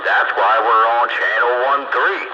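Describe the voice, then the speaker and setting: A man's voice: mid-range voice, unhurried. Man chatting over noisy, dull radio. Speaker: participant in CB radio chat. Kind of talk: casual radio chat with friends.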